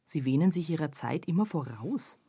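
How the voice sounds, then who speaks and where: Husky, insistent female onlooker. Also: deep voice, lively intonation, normal speed, dark tone, dry recording, heavily acted. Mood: uneasy. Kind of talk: literary radio play, scripted three-way conversation.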